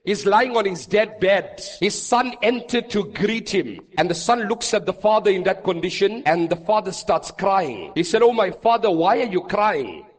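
A man with a high-pitched voice rings out in a long, echoing hall. He preaches an Islamic sermon with dramatic emphasis, leaning on repetition and rhetorical questions in an impassioned delivery. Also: animated, normal speed, few pauses. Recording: long reverberant hall echo.

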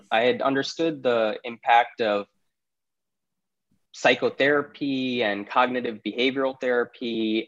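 A man's voice: medium pitch, fairly bright tone, dull audio. A man from Pakistan, chatting easily as a podcast guest, laughing often between thoughts. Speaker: podcast guest, startup CEO. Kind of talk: casual interview conversation.

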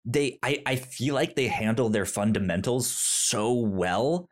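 A man's voice: mid-range voice, unhurried. A man hosting a podcast, talking about films with enthusiasm, stammering and often restarting his sentences. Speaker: podcast host. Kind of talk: casual film discussion.

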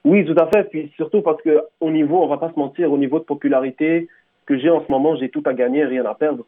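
Fast-talking rapper over a phone line. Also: male voice, on the high side, steady tone. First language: Tunisian Arabic. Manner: rambling, full of restarts and fillers. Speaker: rapper, interview guest. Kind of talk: radio interview answers.

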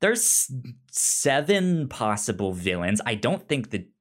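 A man with an average-pitched voice talks slowly in a casual podcast chat. He speaks haltingly, often stammering and repeating the start of a word as he thinks aloud. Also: big pitch swings. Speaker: pop culture podcast co-host. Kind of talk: casual unscripted podcast discussion.

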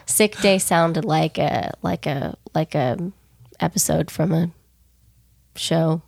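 A young woman co-hosting a podcast, soft-spoken and rambling a little, getting over a cold. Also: deep voice, takes it slowly, vocal fry, no echo, studio quality. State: recovering from a cold. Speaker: podcast co-host. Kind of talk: casual podcast chat.

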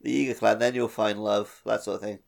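A man's voice: lowish voice, flat delivery, brisk, creaky. A man co-hosting a podcast, talking casually and wryly about a video game. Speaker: podcast co-host. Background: plucked guitar music.

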